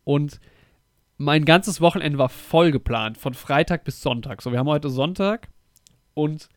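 A man's voice: fairly high pitch, unhurried, no room sound. Casual podcast co-host, young man, slightly under the weather with a cold, slangy and talkative, close dry sound. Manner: chatty, slangy.

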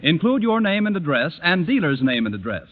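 A man's voice: a little high, big pitch swings, fairly quick. A man with an American accent announcing and reading a scripted advertisement in 1940s radio style. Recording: reverberant, tinny old radio recording.

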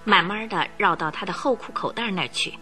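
A woman's voice: mid-range voice, brisk, bright, forceful tone, faint music behind. A woman narrating a radio drama in a vivid, dramatic storytelling manner.

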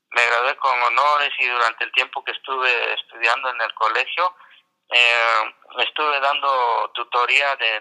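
A man's voice: medium pitch, gravelly, pushed and bright, low-quality recording. An older man whose first language is not Spanish, telling his story in a radio interview, hesitant with many restarts. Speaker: interview guest, graduate.

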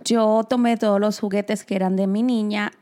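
A woman's voice: medium pitch, steady tone, normal speed, mellow. A woman from the Republic, co-hosting a casual chat and giving listeners practical advice. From Dominican Republic. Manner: advises listeners directly, addressing them as "señores". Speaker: co-host.

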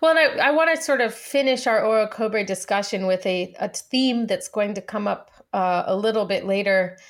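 A woman's voice: mid-range voice, moderate pace. A woman co-hosting a podcast, discussing markets conversationally in an articulate, dryly wry way. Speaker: podcast co-host.

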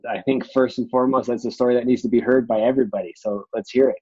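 A man hosts a podcast, greeting his guest and asking questions in a friendly, halting way, often pausing on fillers. His voice sits at an average pitch and comes through muffled and lo-fi. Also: steady tone, warm tone, slightly rough. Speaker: podcast host. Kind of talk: casual interview hosting. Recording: muffled lo-fi audio.

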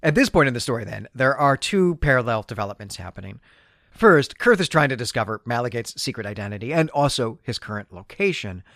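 A man speaks as a podcast host giving a casual, explanatory recap. His mid-pitched voice swings expressively up and down, leaning on key words in a conversational way. The sound is dry, with very little room in it. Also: expressive, brisk, a bit bright, no room sound.

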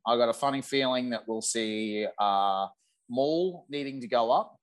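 A man's voice: medium pitch, a little slow. A man in casual gaming podcast conversation, talking in an enthusiastic, opinionated way as he offers his theories.

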